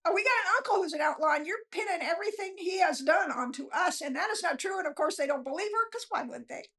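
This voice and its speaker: Very high-voiced, folksy woman author. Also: expressive, quick pace, fairly bright tone. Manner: self-deprecating, folksy, unpolished. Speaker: author being interviewed, guest. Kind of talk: casual, rambling storytelling chat.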